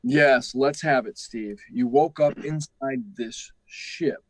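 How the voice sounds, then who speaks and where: A man's voice: mid-range voice, slow pace, dry recording. An actor playing a skeptical, exasperated, no-nonsense man in an old-time radio science fiction drama. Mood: prodding. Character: skeptical, exasperated male friend of a pilot, no-nonsense.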